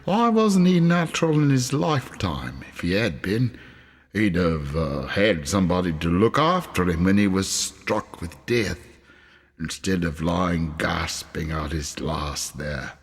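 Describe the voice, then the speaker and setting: A man's voice: low-pitched, lively intonation, slow, creaky, breathy edge, full character voice. A man voicing a brazen, gloating charwoman in a storybook reading, with long reverb on the recording. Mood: brash, defiant.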